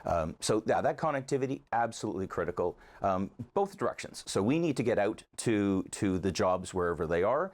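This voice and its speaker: A man's voice: on the low side, lively intonation, a little fast, few pauses. A man giving conversational interview answers as a local advocate, earnestly arguing his case point by point.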